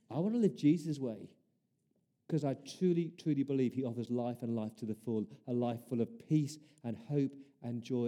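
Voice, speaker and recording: Man, pastor, sermon, very dark mellow tone, earnest, repeated rhetorical questions. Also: medium pitch.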